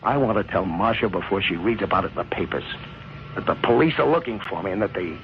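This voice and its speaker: An actor playing a desperate married man on the run in an old-time radio crime drama, urgent and halting, with a gravelly voice. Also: sits low, animated. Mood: anguished, resigned. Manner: urgent, pressing, halting when cornered. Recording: muffled, hissy old radio recording.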